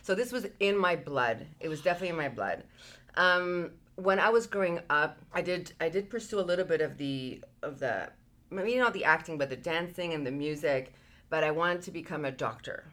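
Low-voiced woman telling her story. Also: fairly quick. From Peru. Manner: relaxed and chatty, with frequent "like" fillers. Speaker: guest entrepreneur. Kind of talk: casual interview storytelling.

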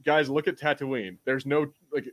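A man's voice: mid-range voice, fairly even intonation, moderate pace. An American man co-hosting a podcast, talking casually in a rambling, slangy way about things he is a fan of. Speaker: podcast co-host.

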